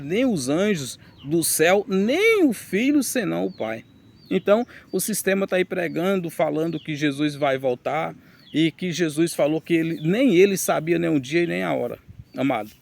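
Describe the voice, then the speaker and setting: A preacher man with a slightly high, gravelly voice teaches from scripture. He reads verses aloud and turns to his listeners with emphatic direct questions. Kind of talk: teaching sermon with Bible reading.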